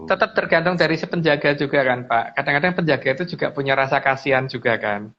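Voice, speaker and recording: A man explaining things casually as a guest, haltingly and with repeated phrases. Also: a little high. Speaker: guest speaker on mystical lore. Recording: dull lo-fi audio.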